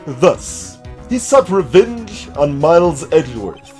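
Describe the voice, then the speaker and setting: Man putting on a ponderous, genial older gentleman lawyer. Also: fairly high pitch, sing-song, takes it slowly, faint music behind. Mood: grave, deliberate. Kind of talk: voiced visual novel game dialogue.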